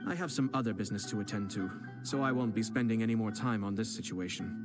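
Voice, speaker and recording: Here is a polished young nobleman from a fantasy game, played by a man. His voice sits a little low and stays calm and measured, confident without any push. Game music plays clearly behind him. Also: natural voice. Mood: composed. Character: composed young male noble strategist. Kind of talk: game voice acting.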